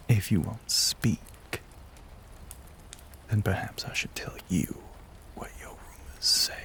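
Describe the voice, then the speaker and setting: A man playing a courtly, menacing, ageless vampire with a Southern US accent, in an intimate audio roleplay, breathy and mostly whispered, with theatrical, genteel phrasing and long pauses. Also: lowish voice, sharp tone, heavily acted. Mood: taunting. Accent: American South.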